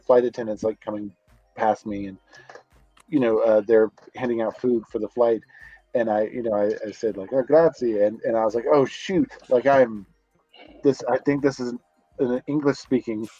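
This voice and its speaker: A man's voice: mid-range voice, dark tone, dry recording, lo-fi sound. A man in his office on a college campus, chatting casually over a video call and rambling with many restarts. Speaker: guest, comics creator. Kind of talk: casual chat.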